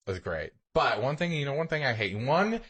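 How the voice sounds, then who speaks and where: A man with a mid-pitched voice that swings widely up and down. He chats casually as a podcast co-host, joking and exaggerating his reactions with mock quotes. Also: sing-song, moderate pace, a bit bright, slightly rough. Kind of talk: casual comedic chat.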